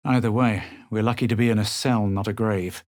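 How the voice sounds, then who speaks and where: An actor playing a self-assured, superior young male adventurer in a video game, speaking in a measured, aloof, dramatic way. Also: fairly low pitch, fairly even intonation, a little mellow, no room sound, clean studio sound, close to an everyday voice. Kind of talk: video game character lines and storytelling narration.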